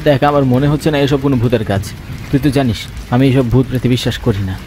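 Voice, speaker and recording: A man's voice: mid-range voice, fast, close to an everyday voice. A man playing a sceptical young city worker back in his village, earnest and questioning, in a horror cartoon. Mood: puzzled, resolute. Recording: rain and thunder noise behind.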